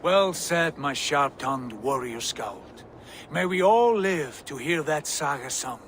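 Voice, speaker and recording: An actor playing a confident, eloquent Viking war leader in a video game, commanding and formal. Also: male voice, a little high, animated, slow, fairly bright tone, a little hiss or hum. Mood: commanding, proud. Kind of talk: dramatic video game acting.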